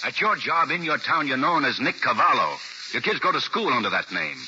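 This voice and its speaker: A man playing a calm, fatherly assistant district attorney in a 1940s radio drama, measured and reassuring. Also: mid-range voice. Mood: firm. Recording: old 1940s radio broadcast, hissy and dull.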